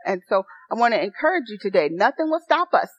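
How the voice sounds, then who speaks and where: A soft-spoken woman pastor preaching a sermon, earnest and rising to excited encouragement. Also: mid-range voice, fast, husky, dry recording. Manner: earnest, building to excited exhortation. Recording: dry, dull lo-fi sound.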